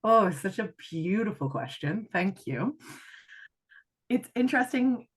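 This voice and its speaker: A Canadian woman in her thirties, her accent softened by an Irish lilt, talking through her ideas reflectively with fillers and asides as an interview guest. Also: medium pitch, expressive, unhurried, breathy edge. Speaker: guest, life coach. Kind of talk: conversational interview.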